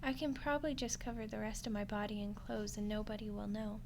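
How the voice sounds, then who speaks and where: A woman's voice: medium pitch, level tone, a little fast, lightly acted. A woman voicing a friendly, lonely undead skeleton girl in an ASMR roleplay, chatting casually and awkwardly to the listener. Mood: coaxing, awkward. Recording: clear background noise and ambience.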